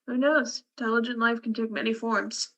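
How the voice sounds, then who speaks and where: A woman playing a fatalistic, cerebral male scientist in a science fiction radio play, speaking in a measured, wry way. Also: fairly high pitch, flat delivery, sharp tone. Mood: musing.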